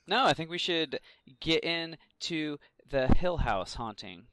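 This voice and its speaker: A man's voice: a little high, lively intonation, takes it slowly, noisy background. A man co-hosting a podcast, chatting casually and conversationally. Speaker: podcast host. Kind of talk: casual chat.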